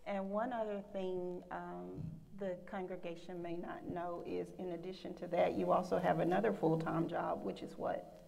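A Southern American woman leads a church panel, her voice carrying a gravelly edge, upbeat and quick to say amen. She sounds like she is in a big church sanctuary, with a long reverb behind her. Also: lowish voice, little pitch movement, a little mellow. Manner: upbeat, frequent amens.

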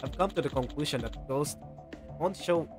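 A man's voice: a little high, moderate pace. A man livestreaming a game, reading its dialogue aloud in a playful way, ad-libbing comments and stumbling over the odd line. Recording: game music behind the voice. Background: video game music.

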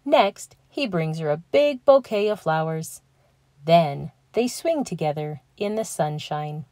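A young adult woman reading a children's picture book aloud, gentle and clear, lifting her voice for the characters. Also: lowish voice, dry recording, clean studio sound. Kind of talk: read-aloud storytelling.

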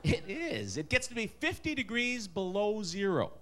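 A man from Wisconsin doing stand-up in a comedy club, with a nasal Wisconsin accent and an animated delivery full of put-on voices. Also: sits high, moderate pace. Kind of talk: stand-up set. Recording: live club with crowd and noise. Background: audience laughter.

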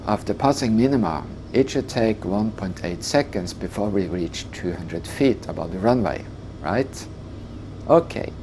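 An older man calmly teaching a scripted lesson on flying approaches. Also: on the low side, slight background noise. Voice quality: calm, measured explanation. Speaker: flight instructor. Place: indoor room.

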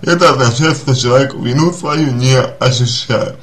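A man giving unscripted personal advice as a psychologist, speaking deliberately and haltingly, often repeating and rephrasing his phrases. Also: mid-range voice, a bit bright. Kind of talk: spoken advice to a listener, unscripted.

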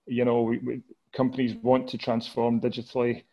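Scottish man, Glasgow accent, monotone, earnest caller on a video meeting. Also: mid-range voice, lo-fi sound. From Scotland. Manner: earnest, measured, many filler pauses. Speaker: audience member asking a question. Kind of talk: video call question. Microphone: headset on a video call.

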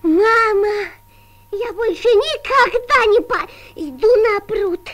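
Squeaky, very high little raccoon boy. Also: slow, fairly bright tone, exaggerated voice. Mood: scared, pleading. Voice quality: squeaky childlike voice. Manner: naive, exclamatory. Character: timid, innocent little boy raccoon, childlike and eager. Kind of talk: Soviet animated cartoon acting.

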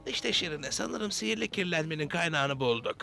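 A man playing a wise old fatherly gnome leader in a cartoon dub, giving instructions with gravelly authority. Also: on the high side, lively intonation, moderate pace, pushed and bright, faint music behind, heavily acted. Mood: concerned, commanding.

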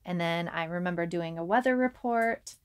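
A woman's voice: lowish voice, moderate pace, smooth. A woman talking conversationally as a podcast co-host.

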